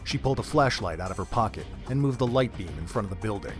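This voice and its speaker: A man narrating a fan audio drama in a steady, measured storytelling manner. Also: on the low side, music in the background.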